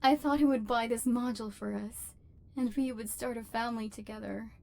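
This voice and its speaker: A woman's voice: a little high, steady tone, fairly quick, gravelly, a little mellow. A woman voicing an embittered, lonely robot overlord in an ASMR roleplay, with formal, precise diction and hurt tenderness underneath. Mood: wounded, wistful. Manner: formal, precise, stilted diction with wounded feeling. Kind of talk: scripted ASMR roleplay acting.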